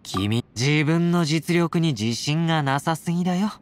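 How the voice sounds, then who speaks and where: Breezy, animated young warrior. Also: male voice, fairly high pitch, unhurried. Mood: confident. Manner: breezy, teasing, smooth-talking. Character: confident, playful young male warrior, affectionate companion. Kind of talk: Japanese anime-style game voice acting. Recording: game audio with background music.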